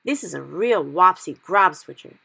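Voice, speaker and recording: A woman's voice: lowish voice, lively intonation, normal speed, gravelly, full character voice. A woman voicing a big, friendly male giant who mangles his grammar and cries out in anguish over a caught nightmare. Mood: distraught. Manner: ungrammatical made-up words, loud anguished exclamations.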